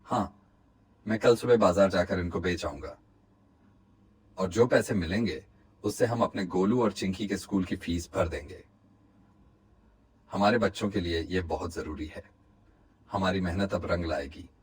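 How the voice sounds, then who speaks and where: A man playing an honest, hopeful woodcutter and family man in a cartoon moral story, speaking in an earnest, reassuring, measured way. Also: low voice, darkish tone, slightly rough, full character voice, rough recording. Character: honest, hopeful, hardworking poor woodcutter, husband and father.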